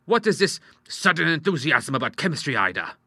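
Audiobook role: fussy, courteous middle-aged father acted by a man, sharp bright voice, very dry sound. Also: fairly high pitch, sing-song, a little fast, breathy edge, no echo, voice pushed up, exaggerated voice. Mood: vexed, dry. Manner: proper, courteous, exclamatory when vexed. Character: genial, fussy middle-aged doctor and father, easily ruffled. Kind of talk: audiobook character voice.

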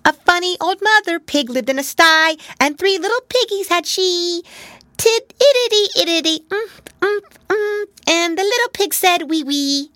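A woman voicing a sweet, cheerful little female piglet in a storybook, high and chirpy. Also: very animated, unhurried, a bit bright, no room sound, clean studio sound, heavily acted. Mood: singing. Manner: high, chirpy, sing-song.

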